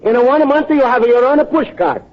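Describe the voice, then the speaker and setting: An actor playing a naive, earnest, lovestruck Italian immigrant in an old-time radio comedy, speaking accented broken English. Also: male voice, very high voice, animated, a little fast. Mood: shy, adoring. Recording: muffled old radio broadcast recording.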